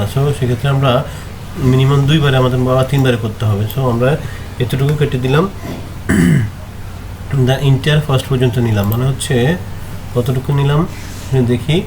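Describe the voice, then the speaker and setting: A man presenting a screen-recorded software tutorial, talking in a halting way as he thinks aloud through each step. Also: medium pitch. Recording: noticeable background noise.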